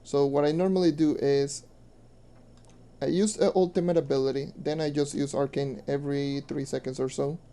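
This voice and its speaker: A young man streaming a game and answering questions in loose, rambling casual talk, with a hoarse voice he says he is losing. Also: a little high, little pitch movement, normal speed, dark tone. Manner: rambling, loose with fillers, mutters to himself. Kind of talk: casual gameplay commentary and Q&A. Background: faint music.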